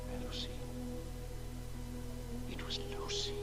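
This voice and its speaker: An actor playing a distracted, grieving middle-aged English husband with a halting delivery in a radio drama. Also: male voice, extremely high pitch, expressive, over loud music, heavily acted. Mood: anguished. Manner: absent-minded, halting. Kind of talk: 1980s radio play acting.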